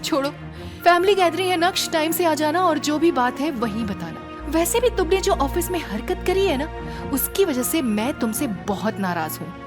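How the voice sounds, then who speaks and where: A woman's voice: high-pitched, expressive, normal speed, over music. A woman acting a haughty, suspicious young businesswoman who is easily annoyed, in an audio drama. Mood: scornful, annoyed.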